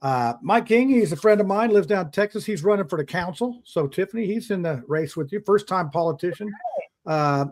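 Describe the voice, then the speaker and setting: Man, talk show host, casual panel chat, rambling, chuckling, slightly high voice. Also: expressive, brisk. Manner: rambling, chuckling, many restarts. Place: outdoors in the sun.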